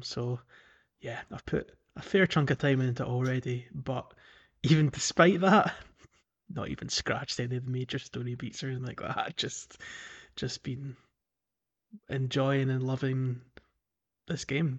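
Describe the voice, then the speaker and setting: A man's voice: medium pitch, fairly even intonation, measured pace, lo-fi sound. A man co-hosting a podcast, chatting casually about a video game in an easygoing way. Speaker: podcast co-host.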